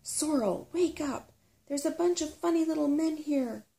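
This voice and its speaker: An earnest young boy in a storybook adventure, voiced by a woman reader. He sounds anxious and unsure of himself. The tone is mellow with some fry. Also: high-pitched, unhurried, voice pushed up. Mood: alarmed. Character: human boy, uneasy, startled, earnest.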